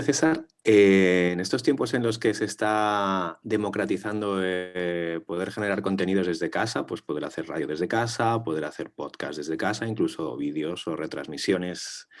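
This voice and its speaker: Man, deep voice, polite audience question. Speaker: audience member asking a question.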